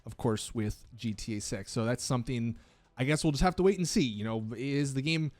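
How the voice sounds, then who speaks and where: A man's voice: mid-range voice, brisk, darkish tone, no echo. A man presenting gaming news commentary as a video host, explaining things in an emphatic, casual way. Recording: background music under dry voice. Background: music.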